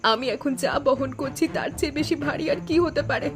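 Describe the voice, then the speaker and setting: A woman's voice: high voice, moderate pace, over music. Woman acting an anguished young wife in cartoon melodrama. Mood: pleading. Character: anguished young wife, quiet by nature, growing resolute.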